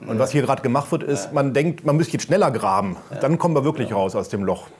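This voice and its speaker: A man's voice: mid-range voice, expressive, fast. A man speaking as a guest on a panel, explaining his points in a matter-of-fact way. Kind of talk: discussion panel.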